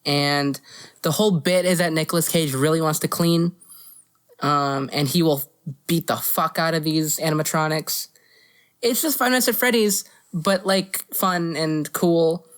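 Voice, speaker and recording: Low-voiced woman in casual chat. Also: a little slow. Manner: blunt, swears freely. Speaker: podcast co-host. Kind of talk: casual podcast chat about movies.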